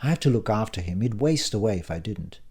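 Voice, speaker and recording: A man's voice: fairly low pitch, expressive, fast, darkish tone, breathy edge. A man voicing a polite, apologetic, anxious woman at a dinner in an audiobook.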